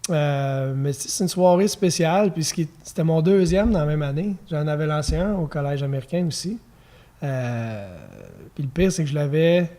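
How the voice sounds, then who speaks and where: A man in his thirties with a Quebec French accent, a former pitcher chatting as a podcast guest, telling stories easily with chuckles and fillers. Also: normal speed. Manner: relaxed storytelling with fillers and chuckles. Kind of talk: casual podcast conversation.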